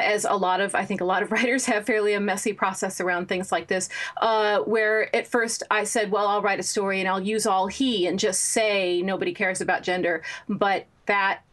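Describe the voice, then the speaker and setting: A woman's voice: mid-range voice, a bit bright. Thoughtful woman novelist. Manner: thoughtful, measured, with fillers. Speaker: novelist guest. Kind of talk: interview conversation.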